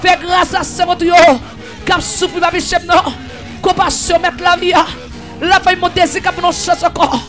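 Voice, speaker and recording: A man leading an impassioned prayer, pleading and repeating phrases, half singing and at times shouting. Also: very high voice, level tone, moderate pace, faint music behind, some chatter behind. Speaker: prayer leader. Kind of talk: fervent chanted prayer.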